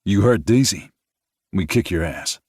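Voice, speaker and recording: A man voicing a teasing, protective adult man in a close family, in a raised, louder voice than his narration. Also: low-pitched, expressive, a little slow, husky, no room sound, voice pushed up, exaggerated voice. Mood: blunt.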